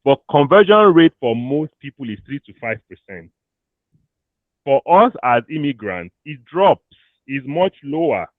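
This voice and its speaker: A Nigerian man talks career strategy in an animated, loose way, dropping pidgin phrases now and then. His voice sits at an ordinary pitch. The sound is thin and phone-like, with no room echo. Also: unhurried. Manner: animated, switches into pidgin, laughs often. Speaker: career coach. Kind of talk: casual talk to an audience. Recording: thin phone-like dry audio.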